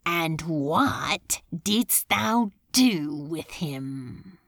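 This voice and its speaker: A woman voicing a menacing male demon lord who speaks slowly and low in archaic words. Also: expressive, fairly bright tone, dry recording, clean studio sound, exaggerated voice. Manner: slow, low, archaic speech.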